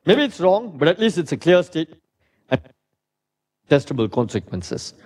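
A middle-aged man with a loud voice giving a physics lecture in a lecture hall, thinking aloud with many fillers and "right?" tags. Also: on the high side, sing-song, moderate pace. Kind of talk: academic lecture.

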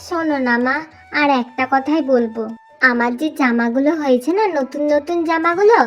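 Woman as high-pitched, wheedling little schoolgirl. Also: moderate pace, natural voice. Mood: eager, wheedling. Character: eager, whiny little schoolgirl pestering her mother. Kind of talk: cartoon voice acting. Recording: music under the voice.

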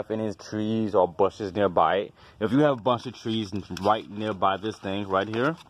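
A very low-pitched man talks through a home inspection in a loose, rambling way, brushing things off with offhand remarks. He holds a phone camera as he walks around the outside of a house and down into a basement. A faint hiss of background noise stays under his voice. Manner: rambling, offhand, dismissive asides. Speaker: house inspector and HVAC tech. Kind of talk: casual walkthrough tutorial. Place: outdoors beside a house, then a basement utility room. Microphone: handheld phone camera.

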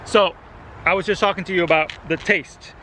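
Excited bright-voiced man outdoors. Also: high-pitched, brisk, a little hiss or hum, rough recording. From El Salvador. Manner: enthusiastic, exclaiming over the fruit. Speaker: gardening channel host. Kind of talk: casual outdoor vlog chat. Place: residential yard outdoors. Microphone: handheld camera at arm's length.

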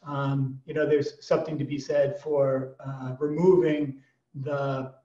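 An American man in his fifties answering interview questions conversationally, with halting false starts and asides. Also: mid-range voice, little pitch movement, warm tone, dull audio. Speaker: auction executive, podcast guest. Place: small plain room indoors. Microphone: computer mic on video call. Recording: boxy, echoey video call, distant mic.

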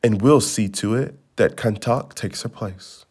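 A man's voice: lowish voice, measured pace, darkish tone, slightly rough. Man reading as a cruel, commanding storybook stepmother. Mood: menacing. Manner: menacing, bossy.

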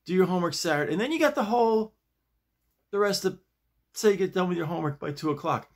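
An American man in his sixties chatting casually to camera in a halting, digressive way, often repeating his phrases. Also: sits high, lively intonation. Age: 60s. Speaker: vlogger. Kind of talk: rambling casual chat to camera. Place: small home office, indoors.

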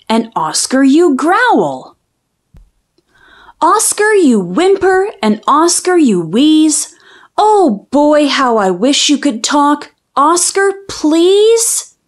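Lady reading a kids book out loud, really animated up and down voice, slow pace. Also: high-pitched. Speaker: host reading children's books aloud. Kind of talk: expressive children's storybook read-aloud.

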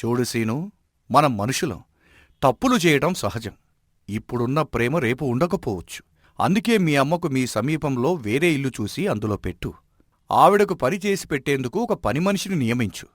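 A man playing a wise, respected village elder in an animated moral story, giving advice in a measured, admonishing way. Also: medium pitch, no room sound, studio quality, natural voice. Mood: calm advising.

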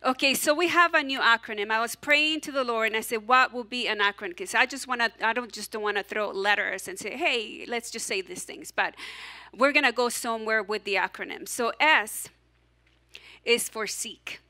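Bright-voiced woman pastor with an accent. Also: fairly high pitch, normal speed. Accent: non-native English. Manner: animated, encouraging, audience call-and-response. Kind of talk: sermon. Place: church stage, large hall.

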